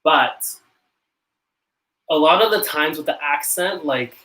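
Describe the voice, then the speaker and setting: A young North American man with a North American accent, chatting casually to camera on a live stream, rambling and self-conscious, with quick laughs. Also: on the high side, pushed and bright. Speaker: channel host.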